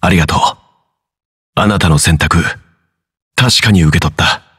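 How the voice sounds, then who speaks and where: Game casting: stoic male leader, grave delivery, fairly deep and very raspy. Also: normal speed, fairly bright tone, full character voice. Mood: solemn. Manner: grave, restrained. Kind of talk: game character voice lines.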